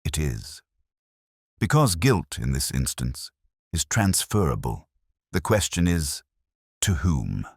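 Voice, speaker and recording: A man voicing a grave, incisive gentleman detective in an audiobook, deliberate and commanding. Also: extremely low pitch, big pitch swings, husky, no room sound, studio quality, heavily acted. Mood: grave, cryptic. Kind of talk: audiobook character acting.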